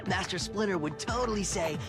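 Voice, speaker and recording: An actor playing a goofy teenage turtle hero, wisecracking in laid-back slang in a game cutscene. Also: male voice, sits high, fairly quick, over loud music. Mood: joking.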